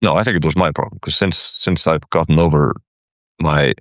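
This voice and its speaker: A man from Iceland talks about his past as a guest in a conversation, stumbling and repeating words as he restarts his thoughts. His voice is very deep, and the recording is close and dry. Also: moderate pace, dry recording. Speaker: caller, guest. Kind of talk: casual personal conversation.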